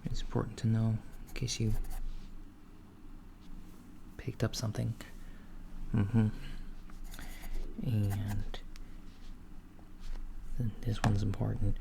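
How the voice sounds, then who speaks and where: A man voicing a calm alien doctor in a soft-spoken, half-whispered ASMR roleplay, gently asking a human patient clinical questions. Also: on the low side, slow pace, gravelly, long pauses, noisy background. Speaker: ASMR creator.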